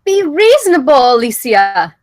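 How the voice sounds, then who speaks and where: A woman's voice: sits high, sing-song, normal speed, dry recording, clean studio sound. A woman playing a resolute survivor in a table read of a thriller screenplay. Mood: tense, reasoning.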